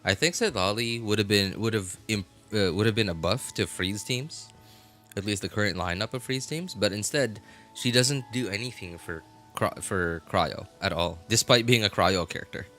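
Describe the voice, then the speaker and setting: A man with a fairly low but bright, forward voice talks casually on a game stream. He rephrases himself as he goes, in a conversational way. Music plays behind him. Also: normal speed. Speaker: live streamer. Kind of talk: casual game stream chat, answering viewers.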